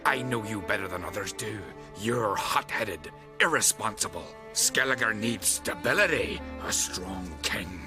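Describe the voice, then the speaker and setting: An actor playing a grim, bitter clan servant in a fantasy game, with a grave, blunt way of speaking. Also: male voice, on the low side, expressive, a little slow, hardly any pauses, music in the background. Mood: bitter, disdainful. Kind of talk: dramatic fantasy game acting. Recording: dramatic score behind dialogue.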